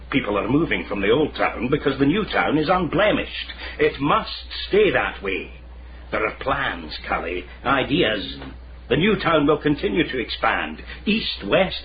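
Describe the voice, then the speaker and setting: An actor playing a condescending, quietly menacing Scottish Lord Provost in a radio drama, measured and insinuating. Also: male voice, mid-range voice, very animated. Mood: veiled threat. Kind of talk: period radio drama acting. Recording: muffled, noisy radio recording.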